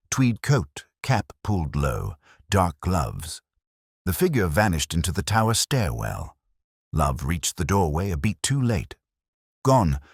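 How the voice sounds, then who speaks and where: A man with an extremely deep, slightly rough voice narrates a scripted mystery. His delivery is measured and literary, with lively movement in pitch, recorded dry and close. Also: no room sound. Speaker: audiobook narrator.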